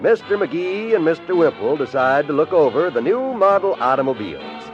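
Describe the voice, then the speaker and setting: A man's voice: fairly high pitch, sing-song, normal speed. Man announcer over music, muffled. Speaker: radio announcer. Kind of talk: scripted announcing. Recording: muffled old radio transfer. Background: theme music.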